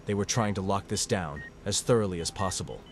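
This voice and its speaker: Young male medical student, horror drama lead: low, earnest, somber, music underneath. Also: fairly quick. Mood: grave. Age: young adult. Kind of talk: horror audio drama acting and narration.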